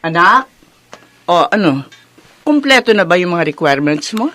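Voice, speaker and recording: A woman's voice: lowish voice, big pitch swings, normal speed, fairly bright tone, faint music behind. A Filipino woman acting a stern, status-conscious, middle-aged mother who scolds and commands her son in a scripted drama. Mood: pleased, businesslike.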